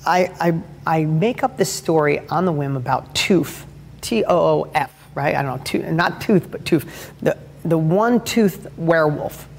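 A man with a high-pitched voice gives a motivational talk as a father and host, telling stories energetically and rambling with restarts. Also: lively intonation, a little slow, vocal fry, a little hiss or hum. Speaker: host and father giving advice.

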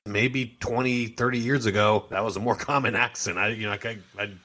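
A man's voice: lowish voice, a little fast. A man co-hosting a podcast, chatting casually about an old TV show and acting out its scenes with laughs and restarts. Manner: animated, laughs and restarts often, acts out scenes. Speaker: podcast co-host. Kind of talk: casual chat.

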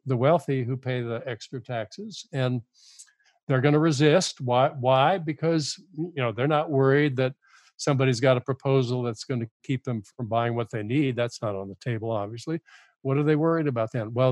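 A man's voice: medium pitch, brisk, darkish tone, no room sound. An older man, a guest author on a podcast, making his case earnestly and often repeating words as he goes. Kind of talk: podcast interview.

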